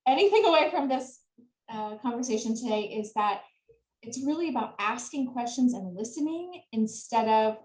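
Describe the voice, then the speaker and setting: A woman with a fairly high voice speaks as a sales coach in an interview. She explains earnestly and laughs easily. Her voice sounds roomy, with an echo around it. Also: a little mellow. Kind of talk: interview answers. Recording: echoey room.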